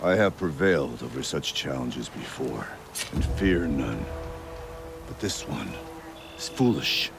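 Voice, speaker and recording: A gravelly, proud hunter chief. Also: male voice, rumbling low, animated, unhurried, music in the background, heavily acted. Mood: stern. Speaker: game character.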